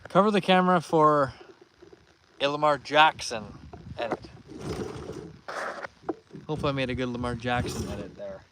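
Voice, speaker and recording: A young man hosting a live fishing stream outdoors, reading viewer comments aloud and giving upbeat shout-outs in a relaxed voice. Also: mid-range voice, normal speed, long pauses, noisy background. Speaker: fishing livestream host.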